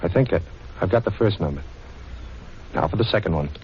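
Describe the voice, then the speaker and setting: A man's voice: sits low, expressive, brisk, a little hiss or hum. A man acting a cool, wisecracking amateur sleuth in a 1940s radio drama, breezy, teasing and commanding, with an American accent. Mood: teasing, confident. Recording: muffled 1940s radio broadcast recording.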